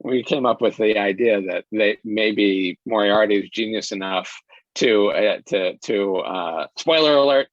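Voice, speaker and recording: A man being interviewed as a director, talking casually and haltingly, restarting phrases as he searches for words. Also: fairly low pitch, no room sound. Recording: dull lo-fi sound.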